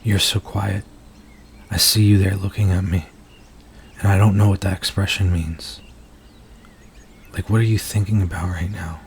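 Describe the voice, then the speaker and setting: A man playing a nervous, earnest werewolf in an intimate ASMR roleplay, speaking softly and haltingly as he confides in his date. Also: low-pitched, little pitch movement, a little hiss or hum. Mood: apologetic, earnest. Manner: soft-spoken, hesitant, halting, earnest.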